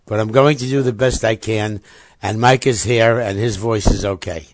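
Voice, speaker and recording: Raspy-voiced talk show host with laryngitis. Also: male voice, fairly low pitch, steady tone, moderate pace, no echo. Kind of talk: casual radio talk show chat.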